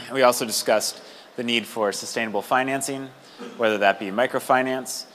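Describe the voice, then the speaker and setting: A man giving a measured readout at a meeting over a microphone, pausing between short phrases. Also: medium pitch, fairly even intonation, fairly bright tone. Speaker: roundtable participant reporting back. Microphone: amplified meeting microphone. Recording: faint background room noise.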